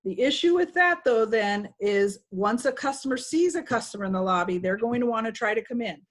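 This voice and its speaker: A woman's voice: on the high side, expressive, brisk. A woman trainer giving an informal, instructive walkthrough to staff over a video call. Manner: instructive, conversational. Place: video call with screen sharing.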